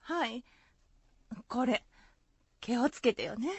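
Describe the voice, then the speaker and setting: A woman's voice: fairly high pitch, slow, a little mellow, breathy edge, no echo, heavily acted. A woman playing an anxious, caring rustic wife in a folk-tale radio drama, fretful and questioning, with Shizuoka dialect. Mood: worried.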